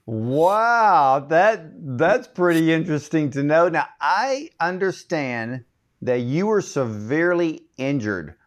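Higher-voiced man hosting a podcast. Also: sing-song, takes it slowly. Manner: emphatic, upbeat introductions with religious phrasing. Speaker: podcast host. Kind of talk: interview hosting.

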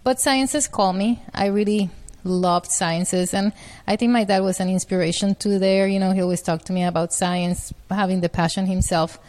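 A woman's voice: mid-range voice, steady tone, normal speed. Middle-aged woman from Puerto Rico. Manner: warm, laughing, repeats words as she thinks. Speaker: physician guest. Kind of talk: interview answers.

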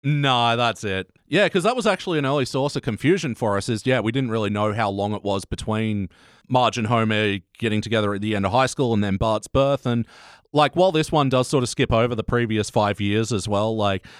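A man's voice: lowish voice, a little fast, clean tone, dry recording, studio quality. A man hosting a casual podcast, speaking enthusiastically in slangy language and laughing readily. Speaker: podcast host.

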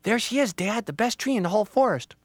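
A man's voice: sits high, lively intonation, fast, dry recording, voice pushed up. A man voicing an eager small child in a children's story. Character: eager small child begging dad for a tree.

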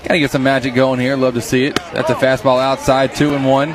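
A man doing play-by-play baseball radio commentary, excited on big plays and chatty between pitches, his voice a little strained. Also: quick pace, background noise. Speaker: play-by-play announcer. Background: crowd.

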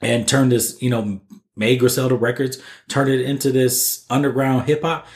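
A man's voice: medium pitch, level tone, moderate pace. An American man chatting casually and enthusiastically about music on a podcast, with slangy, profane language. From US East Coast. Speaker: podcast panelist. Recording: roomy, echoey room.

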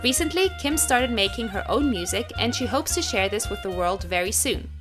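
A woman's voice: fairly high pitch, expressive, normal speed. Upbeat woman podcast host over music. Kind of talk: scripted introduction then casual interview chat. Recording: music clearly behind her voice.

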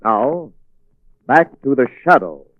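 Animated 1940s radio announcer, tinny recording. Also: male voice, mid-range voice, slow pace. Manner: polished, salesmanlike. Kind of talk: 1940s scripted radio advertising and narration. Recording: thin, band-limited 1940s radio recording.

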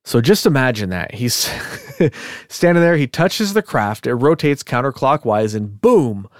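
A man with a voice pitched right in the middle walks his listener through a story in easy podcast chat. He keeps it loose and conversational, with asides and filler words. The sound is dry and close, with no room echo. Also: a little slow. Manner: chatty, relaxed, thinks aloud with fillers and asides. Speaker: podcast co-host. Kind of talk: casual storytelling of a case.

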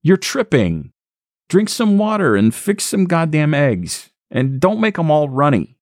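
A man voicing a surly, dismissive lout who talks in a rough, bossy way. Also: a little high, sing-song, a little slow, no room sound, studio quality, pitched above the performer's own voice. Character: surly, hungover lout, the boyfriend.

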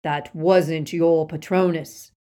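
A woman voicing a stern male dark-wizard henchman in an audiobook, loud, accusing and threatening. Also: sits low, steady tone, slow pace. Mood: insistent.

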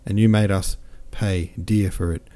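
A man's voice: sits low, steady tone, measured pace, dark tone. A man voicing a jovial, boastful French army captain who chatters in exclamations.

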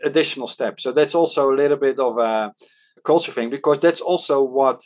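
A man's voice: mid-range voice, a little fast, a little mellow. A Dutch man, a startup advisor and podcast guest, talking casually in an interview, with lots of fillers and restarts. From Netherlands. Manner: hesitant, many fillers and restarts. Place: Hong Kong.

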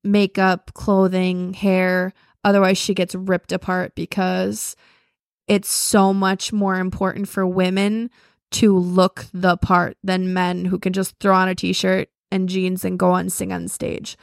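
A woman's voice: on the low side, monotone, a little slow, vocal fry, dry recording, clean studio sound. Chatty young woman podcast co-host. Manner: chatty, lots of "like". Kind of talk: casual chat.

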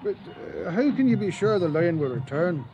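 An actor playing an anxious, fretful doctor on a lion hunt in a radio drama, stammering when afraid. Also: male voice, high voice, very animated, moderate pace, dull audio, music in the background. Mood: questioning.

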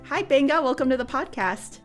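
A woman's voice: sits high, expressive, fairly quick, soft background music. A young woman hosting a podcast interview, with an upbeat, friendly presenter manner. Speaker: podcast host.

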